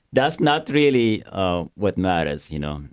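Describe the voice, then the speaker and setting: Young Sudanese-accented man, deep voice. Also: sing-song, slow pace, clear, resonant tone, no echo, studio quality. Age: young adult. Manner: laughing, joking, self-deprecating. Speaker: pastor, co-host. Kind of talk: casual podcast chat.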